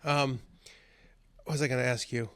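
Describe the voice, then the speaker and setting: A man hosting a podcast, asking quick, curious follow-up questions in casual conversation. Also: medium pitch, steady tone, a bit bright, smooth, dry recording, studio quality. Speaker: podcast host.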